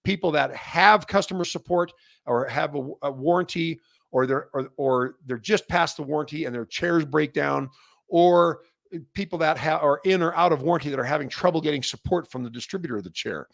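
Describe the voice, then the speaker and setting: A man's voice: on the high side, fairly quick, creaky, a bit bright, no echo, clean studio sound. A middle-aged Canadian man with a Canadian accent hosting a product industry update, talking unscripted with many fillers and restarts, and getting fired up as he rambles. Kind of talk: unscripted solo monologue.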